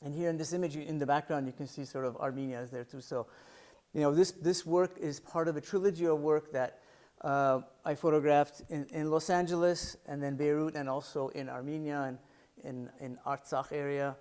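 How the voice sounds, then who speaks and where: A man's voice: on the high side, fairly even intonation, moderate pace, a little mellow. A middle-aged man giving a talk about his photographs, thoughtful and searching for words, with frequent restarts and fillers. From United States. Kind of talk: artist talk presenting photographs. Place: library art center talk.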